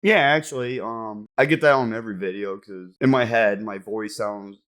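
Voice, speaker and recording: Excitable man with a low voice. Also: normal speed. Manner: excitable, voice jumps around when excited, laughs often. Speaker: podcast co-host. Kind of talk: casual chat.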